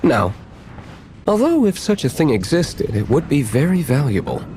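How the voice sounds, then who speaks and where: A man playing an aloof, serious tavern owner in a video game, speaking in a measured, formal manner. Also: medium pitch, sing-song, dark tone, a little hiss or hum, lightly acted. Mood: serious, reflective. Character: aloof, brooding gentleman tavern owner and vigilante. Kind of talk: video game character acting.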